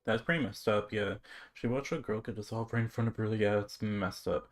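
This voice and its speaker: Game streamer, casual commentary, mumbled reading aloud, trailing off, medium pitch. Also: a little fast.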